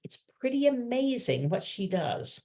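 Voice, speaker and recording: A woman's voice: fairly high pitch, big pitch swings, unhurried, warm tone. A woman hosting an online meeting, introducing a guest speaker in a chatty, enthusiastic way. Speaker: host. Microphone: video call.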